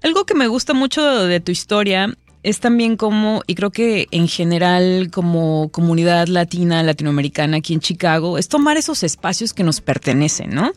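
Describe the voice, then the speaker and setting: A woman's voice: on the low side, expressive, moderate pace, hardly any pauses, no room sound. Woman hosting live radio, chatty. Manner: warm conversational, eager interjections. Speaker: radio host. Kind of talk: live public radio interview. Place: radio studio. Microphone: studio microphone.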